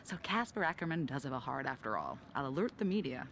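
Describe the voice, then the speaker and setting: A woman's voice: very deep voice, very animated, quick pace, a bit bright, slight background noise, full character voice. A woman playing a teasing, sardonic confidante of a racing driver in a video game cutscene.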